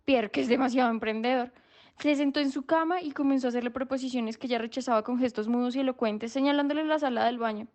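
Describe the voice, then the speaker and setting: A woman's voice: high-pitched, quick pace, darkish tone, natural voice. An actress playing a troubled young woman, confiding a story in a gossipy way for a radio drama. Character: troubled young woman confiding about her vagrant brother. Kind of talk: radio drama narrative monologue.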